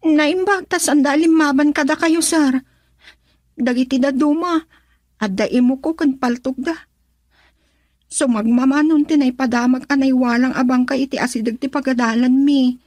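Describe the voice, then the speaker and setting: Casting: woman to play high-pitched young student girl, courteous and burdened, Ilocano radio drama, Filipino accent. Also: normal speed, a little mellow, dry recording, studio quality, natural voice. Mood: worried, polite. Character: polite, troubled young female student raised by grandfather.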